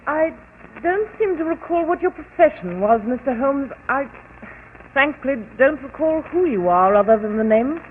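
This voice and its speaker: An actress playing a deranged, murderous wife in a dramatic, dazed manner for a 1940s radio mystery. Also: female voice, high-pitched, expressive, moderate pace. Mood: vague, cool. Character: deranged murderous wife, eerily calm, breaking into hysteria. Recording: tinny, noisy old 1940s radio broadcast.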